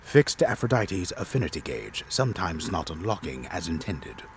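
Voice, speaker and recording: An actor playing a disembodied storyteller, a man reading game narration in a solemn, deliberate, theatrical way. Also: deep voice, big pitch swings, slight background noise. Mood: solemn, dramatic.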